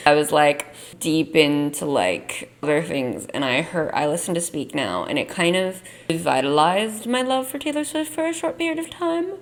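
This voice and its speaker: A young woman vlogger chatting casually as she ranks songs, her voice worn from losing it, rambling with lots of "like". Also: sits low. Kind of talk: casual tier-ranking chat.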